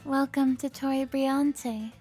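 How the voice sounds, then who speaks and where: A woman's voice: sits high, steady tone, slow, over music. An actress playing a formal female trainer of vampire slayers in a cinematic audio drama.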